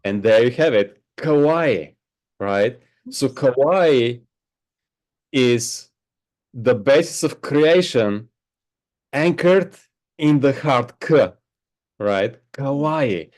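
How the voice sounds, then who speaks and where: A man who teaches meditation, answering interview questions in a slow, reflective way and often checking in with "right?". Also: mid-range voice, expressive, a little mellow. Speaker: spiritual teacher. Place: home on a Hawaiian island.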